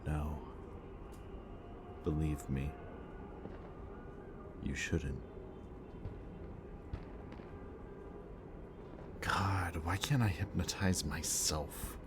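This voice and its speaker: A man performs a brooding villain in an audio roleplay with an extremely deep voice. He speaks slowly and deliberately, with mocking politeness over a weary, haunted core. Clearly audible ambient noise sits behind him. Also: exaggerated voice. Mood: tense, weary. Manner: theatrically polite, slow and deliberate, sighing. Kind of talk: ASMR-style audio roleplay acting.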